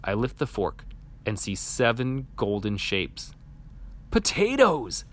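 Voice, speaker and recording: A man reading a children's picture book aloud in an animated, expressive storytelling manner. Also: fairly low pitch, a little slow, a little hiss or hum. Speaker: storybook reader.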